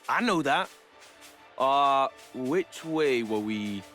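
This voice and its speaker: An actor with an English accent playing a blunt, easygoing, warm-hearted young fighter in a video game cutscene, with colloquial, straight-talking delivery. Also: male voice, medium pitch, expressive, extremely slow, soft background music. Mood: mildly flustered.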